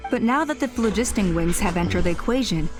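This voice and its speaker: Lady voicing a brisk tribe woman in a game, mid voice, music behind. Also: medium pitch, animated, close to an everyday voice. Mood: urging. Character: composed tribeswoman urging action.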